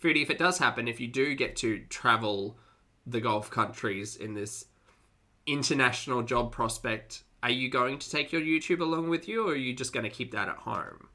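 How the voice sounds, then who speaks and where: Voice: mid-range voice, normal speed, bright, forceful tone. A streamer giving casual, wry live commentary to a chat, often chuckling and repeating phrases. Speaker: streamer reacting to videos and chatting with viewers.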